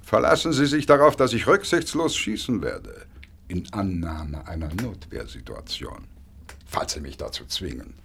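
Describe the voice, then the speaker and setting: An actor playing a suave, smug blackmailer in a radio drama, speaking with unctuous mock politeness and drawn-out hesitations. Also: male voice, low voice, very animated, gravelly, fairly bright tone, slight background noise, exaggerated voice. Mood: cool threat. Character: suave, smug male blackmailer, oily and coolly menacing.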